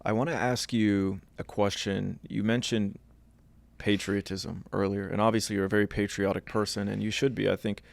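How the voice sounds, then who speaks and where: Gravelly, flat-voiced man asking questions. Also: fairly low pitch, moderate pace. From Iraq. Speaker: podcast host. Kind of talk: interview questions.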